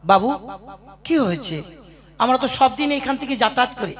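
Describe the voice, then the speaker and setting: A man's voice: very high-pitched, animated, brisk. A man voicing an anxious ship trader in a puppet cartoon who begs and haggles with an official. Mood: pleading. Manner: pleading, worried, haggling. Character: anxious male ship trader carrying produce. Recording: voice over loud music.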